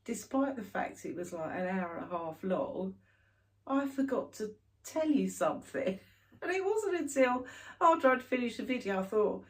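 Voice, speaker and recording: A woman's voice: medium pitch, big pitch swings, moderate pace. A woman in her thirties, stuffed up with hay fever, chatting casually to camera about her stitching. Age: 30s. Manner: chatty, rambling, many fillers. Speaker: cross stitch vlogger.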